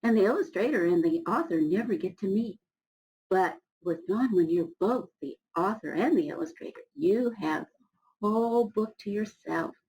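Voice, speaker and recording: A woman, middle-aged, reading a storybook aloud to children with expressive, encouraging asides spoken directly to her young listeners. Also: medium pitch, moderate pace, rough recording. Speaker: storybook reader for schoolchildren.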